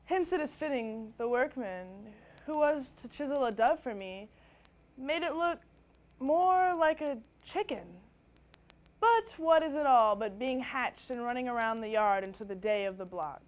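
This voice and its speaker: A woman's voice: high-pitched, expressive, a little slow. A woman performing a dramatic monologue as a wry dead youth who dreamed of being an inventor. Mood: wry, rueful.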